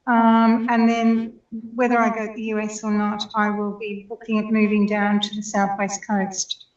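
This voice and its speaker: A woman's voice: medium pitch, monotone, normal speed. An older woman co-hosting, talking in a hesitant, wry way with many ums. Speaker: co-host. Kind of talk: casual chat. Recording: distant, roomy, dull call line.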